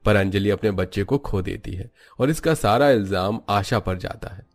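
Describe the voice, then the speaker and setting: A man's voice: lowish voice, normal speed. A man narrating a scripted cartoon story as its storyteller.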